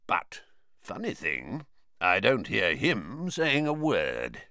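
A gruff old wizard from a storybook, acted out by a man. He is suspicious and menacing, growling low in a very dry recording. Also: huge pitch swings, slow pace, sharp tone, no room sound, exaggerated voice.

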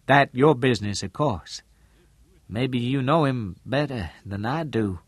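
A man voicing an earnest, shrewd woman who works in real estate, speaking in a halting, deliberate way in an audiobook. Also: breathy edge, dry recording. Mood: wary, frank. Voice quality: low, mellow.

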